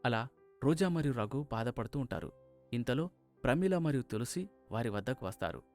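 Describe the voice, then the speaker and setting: A man's voice: medium pitch, animated, moderate pace, a little mellow, faint music behind. A man reading scripted narration for a moral story as its narrator.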